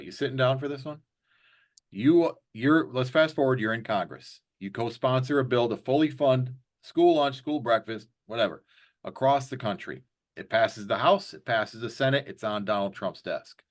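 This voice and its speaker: A man's voice: mid-range voice, lively intonation, normal speed. Chatty joking male interviewer. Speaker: interview host. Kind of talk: casual political interview.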